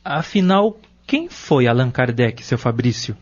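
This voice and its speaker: A man's voice: medium pitch, sing-song, a little slow. A man playing a curious, respectful young man in an audio novel, with a Brazilian accent. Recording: dull lo-fi audio drama recording.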